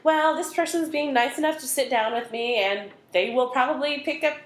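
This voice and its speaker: A woman's voice: high-pitched, moderate pace. Midwestern woman chatting in a roomy space. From American Midwest. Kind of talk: casual chat. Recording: roomy echo.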